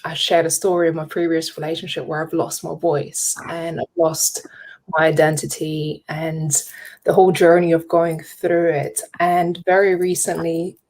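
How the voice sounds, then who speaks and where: Lithuanian-born woman, 30s, lower voice, reflective and open, video call. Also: little pitch movement, normal speed, a little mellow. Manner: open, reflective, many fillers. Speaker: guest author.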